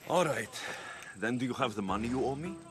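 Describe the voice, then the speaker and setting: An actor playing a young, sardonic, impatient mercenary hero in a video game, speaking dryly and bluntly. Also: male voice, mid-range voice, animated, fairly quick, fairly bright tone, natural voice. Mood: exasperated. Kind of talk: video game character acting.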